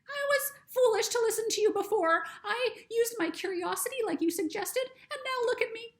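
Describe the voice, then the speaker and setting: Super high squeaky butterfly, stuck in her cocoon, all stammery and begging. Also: normal speed. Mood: regretful.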